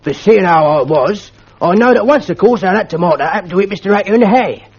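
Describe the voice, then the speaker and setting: Old male school porter, deferential but stubborn, rough dialect; played by a man. Raspy, fairly high. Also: expressive, quick pace, exaggerated voice. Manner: ungrammatical dialect, deferential, keeps saying "sir". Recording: lo-fi old archive recording.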